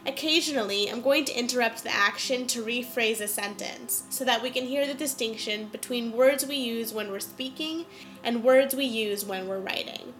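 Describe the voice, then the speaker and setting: Bright-voiced congested woman host. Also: on the high side, normal speed, poor audio. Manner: chatty, explains clearly. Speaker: podcast host. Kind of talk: casual storytelling and explanation. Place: indoors.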